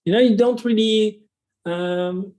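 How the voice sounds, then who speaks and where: French-accented young male guest, higher-pitched voice, searches for words as he talks. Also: darkish tone. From France. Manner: halting, restarts sentences often. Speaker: guest, travel content creator. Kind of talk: casual interview conversation.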